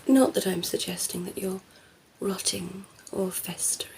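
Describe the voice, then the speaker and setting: A woman voicing a cheeky, flirtatious female vampire in an ASMR roleplay, breathy and often whispering, teasing and cooing with condescending affection. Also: on the low side, unhurried, a little mellow, low-quality recording. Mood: coaxing, teasing.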